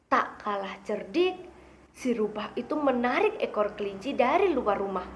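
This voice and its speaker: High-voiced young woman storyteller. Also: sing-song, normal speed, slight background noise. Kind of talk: expressive children's storytelling.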